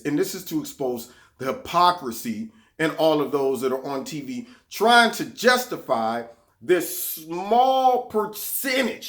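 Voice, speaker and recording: A man's voice: fairly high pitch, a bit bright. A man hosting his own political commentary vlog, talking sarcastically and repeating words when he gets heated. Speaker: vlog host.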